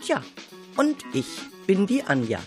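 A woman's voice: mid-range voice, huge pitch swings, unhurried, darkish tone, faint music behind. A woman hosting a radio show, speaking expressively and directly to young listeners. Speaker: radio host of a children's radio play show. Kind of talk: radio moderation addressed to listeners.

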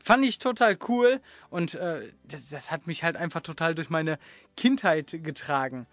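A man, hosting a casual chat in slangy, halting phrases. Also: a little high, lively intonation, a bit bright, no room sound. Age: 30s. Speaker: podcast host.

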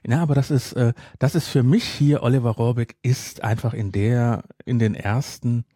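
A man's voice: medium pitch, steady tone, moderate pace, warm tone, no room sound, studio quality. A middle-aged man in casual podcast conversation, making his points emphatically and repeating them for stress. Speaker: podcast host. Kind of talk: casual chat.

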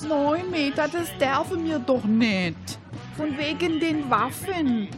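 A woman's voice: high-pitched, expressive, slow pace, music in the background, voice pushed up. A woman playing a chatty, anxious older hobby detective in an amateur radio crime play. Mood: fussy, playful.

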